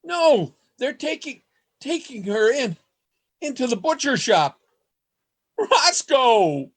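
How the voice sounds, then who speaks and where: Actor as slow, desperate melodramatic man. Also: very high-pitched, sing-song, heavily acted. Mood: panicked, pleading. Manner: earnest, melodramatic, deliberate delivery. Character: sensitive, desperate man writing a frantic last journal. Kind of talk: radio play acting.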